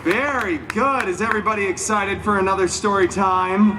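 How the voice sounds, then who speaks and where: A man's voice: sits high, moderate pace, heavy background noise, natural voice. A man playing a wisecracking, irreverent superhero who tells a story live, with sarcastic, theatrical delivery and self-mocking asides. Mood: playful. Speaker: storyteller at a theme park show. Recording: live show audio, music and crowd behind.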